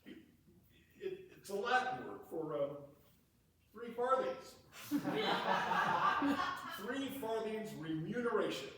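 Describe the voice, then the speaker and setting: A man playing a simple, muddled, good-natured country clown with halting delivery in a Shakespearean comedy reading. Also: high-pitched, lively intonation. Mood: puzzled. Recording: echoey hall, distant mic, faint noise.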